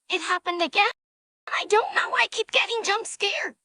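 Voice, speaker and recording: A woman's voice: very high-pitched, lively intonation, unhurried, pushed and bright, exaggerated voice. A woman voicing a ditzy, jumpy teen cartoon girl who exclaims at every scare. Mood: scared. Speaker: reactor to a video.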